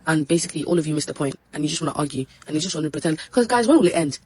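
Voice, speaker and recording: A woman's voice: deep voice, lively intonation, rapid-fire, darkish tone, breathy edge. A woman ranting to camera in a blunt, exasperated, scolding way. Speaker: social media commentator. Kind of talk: ranting direct-to-camera video.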